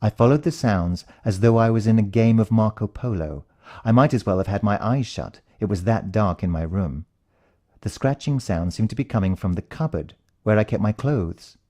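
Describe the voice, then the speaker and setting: British male narrator, low and very mellow, for suspenseful first-person fiction. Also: moderate pace. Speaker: audiobook narrator.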